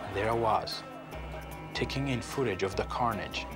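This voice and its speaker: Low-voiced man narrating over music. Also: moderate pace.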